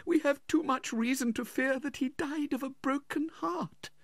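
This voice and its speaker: A man's voice: big pitch swings, moderate pace, gravelly, no echo, heavily acted. A man voicing a timid, tearful, genteel widowed mother in a storybook, faltering and pleading. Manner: faltering, pleading, shift+ high voice.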